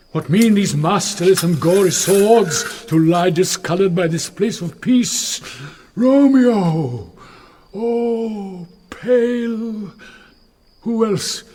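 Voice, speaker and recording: High-voiced, anxious old friar. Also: male voice, slow pace, darkish tone, slight background noise. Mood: horrified grief. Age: older. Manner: anxious, declamatory verse delivery. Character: fearful, pious old friar. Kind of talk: classical Shakespearean radio acting.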